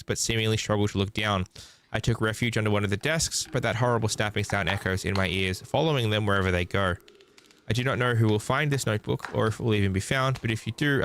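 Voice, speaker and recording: A man's voice: lowish voice, a little hiss or hum. A man streaming a game, reading visual novel text aloud and dropping in casual, playful comments. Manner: playful asides, sung filler syllables. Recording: loud music behind the voice.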